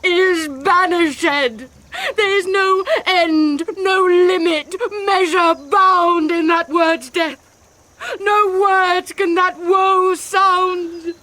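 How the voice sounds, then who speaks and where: High-voiced, distraught young British bride. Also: female voice, steady tone, drawn-out words, sharp tone, full character voice. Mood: frantic grief. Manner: theatrical, precisely phrased verse delivery. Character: passionate, distraught young bride, highborn girl. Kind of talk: classical Shakespearean radio drama acting.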